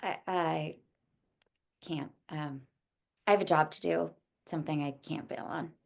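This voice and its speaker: A woman playing an earnest, hesitant young woman in a naturalistic screenplay table read, speaking haltingly. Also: low voice, fairly even intonation, vocal fry, a little mellow. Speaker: actor at a script table read.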